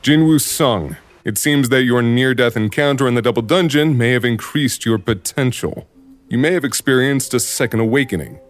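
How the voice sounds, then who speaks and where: A man voicing a calm, formal, deadpan official inspector in an anime parody dub. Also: mid-range voice, moderate pace, faint music behind, close to an everyday voice. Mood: dry, matter-of-fact. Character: calm official male surveillance inspector delivering exposition.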